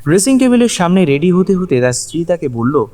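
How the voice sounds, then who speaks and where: A man's voice: high voice, big pitch swings, fairly quick, darkish tone. A man narrating a scripted audio story in a dramatic storytelling style.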